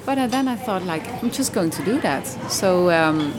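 A woman's voice: fairly low pitch, lively intonation, normal speed. A woman from the Netherlands with a Dutch accent, answering casually as an interview guest. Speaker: interview guest, yoga teacher. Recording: crowd chatter behind.

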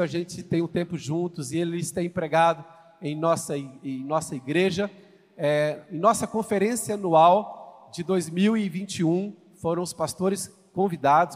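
A man in his fifties from Brazil with a Brazilian accent, a guest pastor addressing a congregation, exclamatory and asking the crowd questions. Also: on the high side. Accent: Brazilian Portuguese, from Goiás. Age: 50s. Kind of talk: sermon introduction to a congregation. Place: church sanctuary. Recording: church hall reverb.

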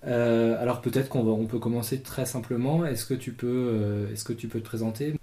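A man's voice: mid-range voice, steady tone, moderate pace, mellow, breathy edge. A man hosting a podcast interview, introducing his guest with frequent fillers. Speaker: podcast host.

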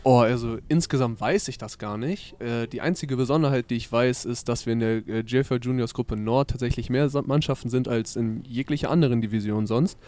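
A 19-year-old man talks fast and eagerly about his team. His tone is warm and mellow, and he often restarts mid-thought. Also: mid-range voice. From northern Germany. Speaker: junior football player guest. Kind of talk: interview answers.

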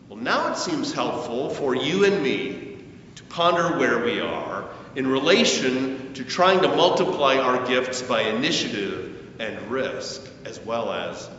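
A man preaching a sermon as a pastor, with measured, deliberate phrasing, emphatic rises and falling sentence endings. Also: pushed and bright. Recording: very echoey church, distant mic, muffled, faint rumble.

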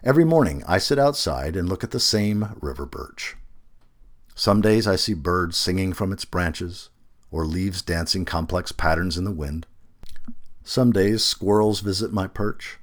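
A man reading a reflective essay aloud as scripted narration, with measured phrasing and deliberate emphasis. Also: low voice, animated.

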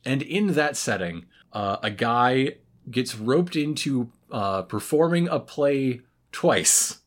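A man's voice: medium pitch, lively intonation, slow. A man hosting a podcast in casual chat, with a wry, rambling and somewhat hesitant manner. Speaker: podcast host.

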